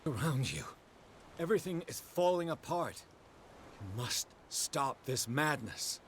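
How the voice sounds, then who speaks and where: A man's voice: medium pitch, sing-song, measured pace, a little hiss or hum. An actor playing a troubled, grave man seeking the truth, speaking with intense urgency in a video game cutscene. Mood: grave, urgent.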